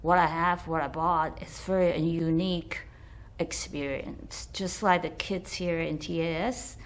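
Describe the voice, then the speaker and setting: A middle-aged woman from Taiwan, a school staff member, telling a personal story in an animated way and acting out the quoted voices. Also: low voice, a little slow.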